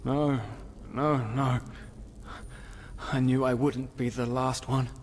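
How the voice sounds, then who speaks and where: An actor playing a despairing, half-mad prisoner in a video game, weary and fatalistic, brooding in halting, philosophical lines. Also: male voice, medium pitch, little pitch movement, natural voice. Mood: bitter, brooding. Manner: brooding, halting, philosophical, with sighs and whispery trailing words. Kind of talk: dramatic video game dialogue acting. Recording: long hall reverb, noisy ambience.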